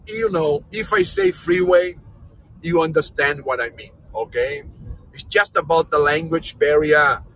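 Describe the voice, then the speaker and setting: Jokey, bright-voiced tour guide man. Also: fairly high pitch, measured pace, rough recording. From Brazil, raised in Austria. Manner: jokey, energetic, non-native English. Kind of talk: live virtual tour, casual chat with viewers. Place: in a moving vehicle. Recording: vehicle noise in background.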